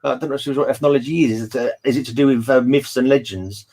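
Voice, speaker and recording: A British man hosting a casual book discussion, talking in a rambling, halting way and often backtracking. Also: medium pitch, steady tone, a little mellow. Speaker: host of a book read-along discussion.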